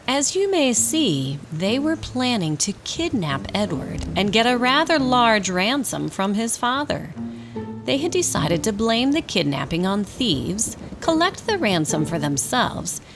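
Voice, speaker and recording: A woman narrates a storybook tale for a radio play. Her voice is mid-pitched and lively in its rises and falls, with music underneath. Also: unhurried.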